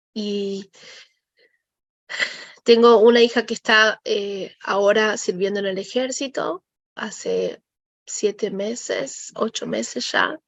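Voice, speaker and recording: A woman's voice: mid-range voice, a bit bright, no room sound. A woman in her forties from Argentina with an Argentine accent, patiently explaining ideas step by step as an interview guest. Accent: Argentine Spanish. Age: 40s.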